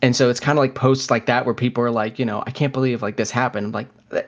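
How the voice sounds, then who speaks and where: A man's voice: mid-range voice, steady tone, fast talker. Man chatting, slightly dull recording. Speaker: podcast co-host. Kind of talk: casual conversational podcast chat. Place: at home, recording remotely.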